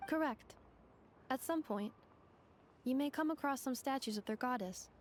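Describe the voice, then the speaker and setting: A woman voicing a polite, precise female robot guide in a video game, speaking in a formal, measured, analytical manner. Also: high voice, a little hiss or hum, natural voice. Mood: calmly informative. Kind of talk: video game character dialogue. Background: game music.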